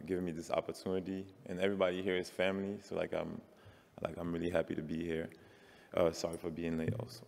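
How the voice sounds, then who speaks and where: A man's voice: deep voice, vocal fry, a little mellow. A man in his forties from France, giving a loose, filler-heavy conference talk about his work. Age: 40s. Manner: casual, many fillers like "like". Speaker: panelist artist. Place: large conference hall. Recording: reverberant hall.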